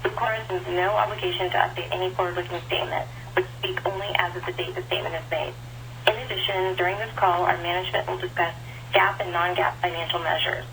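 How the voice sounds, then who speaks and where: A woman reading a formal scripted statement in a measured way as an investor relations director, over a telephone conference line. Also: fairly low pitch, fairly even intonation, vocal fry, fairly bright tone, slight background noise, low-quality recording. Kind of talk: formal scripted disclaimer reading on an earnings call.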